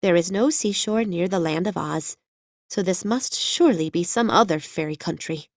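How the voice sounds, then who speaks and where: A woman's voice: on the low side, moderate pace, no echo, lightly acted. A chatty, plucky little girl from a storybook, acted by a woman. She talks earnestly and confidently, with folksy childlike turns of phrase. The recording is dry and close. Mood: chatty, sure. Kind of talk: audiobook character voice.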